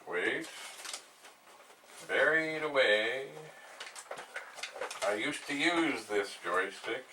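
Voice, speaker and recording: Older man, slow and halting, distant mic. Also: mid-range voice, bright, forceful tone. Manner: rambling, thinking aloud, halting. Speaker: vlog host, retro computer hobbyist. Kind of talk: unscripted narration of a hands-on demonstration. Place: small cluttered indoor room at home. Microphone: camera mic a few steps away. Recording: distant mic, noisy room.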